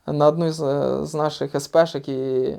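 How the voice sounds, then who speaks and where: A man from Ukraine, a soldier, recalling a combat operation in a casual, swearing, halting interview manner. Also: a little high, level tone, gravelly, darkish tone, clear, resonant tone. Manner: casual, profane, halting with fillers. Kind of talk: interview recollection.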